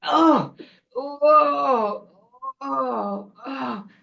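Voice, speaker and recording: Storybook troll witch, played by a woman: greedy, gloating, slow groaning delivery, animated pitch, slightly dull recording. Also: full character voice. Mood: queasy, groaning. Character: hungry female troll witch, gloating, gnarly monster.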